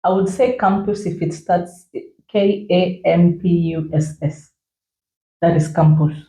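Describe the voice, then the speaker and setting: A woman's voice: fairly low pitch, steady tone, mellow, a little hiss or hum. A young woman with a Kenyan accent hosting a playful chat, teasing her guest and spelling words out letter by letter. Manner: teasing, spells words slowly, laughs often. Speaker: host. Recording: roomy echo.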